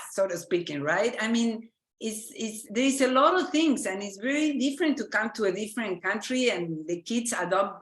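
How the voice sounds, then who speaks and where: A woman from Argentina speaking English with an Argentine Spanish accent, a physician giving an earnest lecture and often pausing to search for words and restart her sentences. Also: mid-range voice, a bit bright, reverberant. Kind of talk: lecture presentation.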